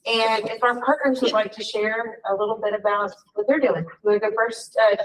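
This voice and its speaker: A woman chairing a board meeting in a relaxed, informal way. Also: medium pitch, fairly quick. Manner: warm, informal, lots of fillers. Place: meeting room. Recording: distant room mic at a meeting.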